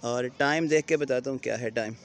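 A man's voice: mid-range voice, brisk. A Pakistani man in his thirties with a Punjabi-region Urdu accent, talking to viewers in a casual village vlog while he walks outside with children and films himself on a phone. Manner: cheerful, chatty, often laughing and coaxing children. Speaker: village vlogger. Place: outdoors on a rural road by fields. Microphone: phone camera at arm's length.

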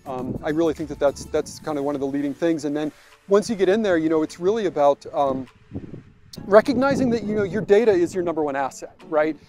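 A man in his forties, a technology executive, answering questions on a walk in a park, halting and full of restarts and repeated words. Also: on the high side, music in the background. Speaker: vice president of technology. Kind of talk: conversational interview on a walk. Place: outdoors in a park.

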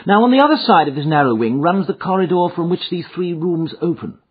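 Man acting a shrewd Victorian gentleman detective, raised pitch, husky voice, incisive, authoritative, storybook dialogue. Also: lively intonation, brisk. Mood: inquiring. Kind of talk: audiobook character dialogue. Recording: muffled, thin phone-like audio.

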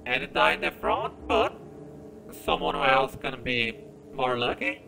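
Slow-talking, creaky-voiced man playing a game. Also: mid-range voice, fairly even intonation, bright, forceful tone, slight background noise, poor audio. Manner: self-deprecating, halting. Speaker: gamer commentating on own gameplay. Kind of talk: casual let's-play commentary. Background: game music.

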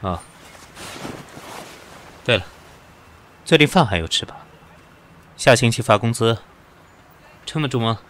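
A man plays a proud, sardonic company boss in an audio drama. His voice is bright and forceful with lively swings, and he talks in a condescending, needling way. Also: medium pitch, unhurried, long pauses. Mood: cool, needling. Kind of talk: modern audio drama acting.

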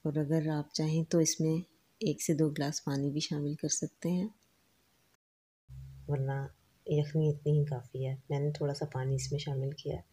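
A woman narrates a home cooking recipe. Her voice sits low and a little husky. She walks through ingredients and steps in a calm, patient manner. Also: normal speed. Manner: calm, instructive, lists ingredients and quantities. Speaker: cooking channel host. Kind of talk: step-by-step recipe voice-over.

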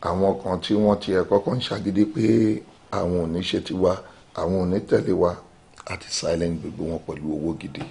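A gravelly scheming politician. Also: male voice, deep voice, fairly even intonation, fast talker, mellow. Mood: smug. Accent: Nigerian. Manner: smug, conspiratorial. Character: scheming politician who buys off rivals.

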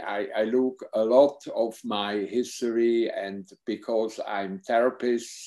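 Casting: older German-accented man, very slow, dark mellow tone, hesitant speech, laptop mic at home. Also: medium pitch. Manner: halting, searching for English words. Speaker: psychotherapist and film subject. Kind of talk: Q&A on a video call. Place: home room with bookshelves. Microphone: laptop webcam mic on video call.

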